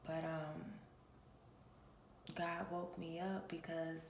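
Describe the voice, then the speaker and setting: A woman with a gravelly, just-woken voice talks in a flat, unhurried way. She speaks like a preacher to her channel's viewers and often stops to clear her throat. The room sounds echoey, with a faint hiss behind her. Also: sits low. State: raspy from early morning. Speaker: spiritual reader and channel host. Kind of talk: early-morning casual vlog address.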